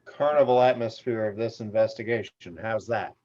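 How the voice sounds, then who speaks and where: A man's voice: fairly low pitch, moderate pace, a little mellow, close to an everyday voice. A man playing a slippery, smug tabloid reporter in a screenplay table read. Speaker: amateur actor in an online table read. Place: remote video call. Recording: dull video call audio.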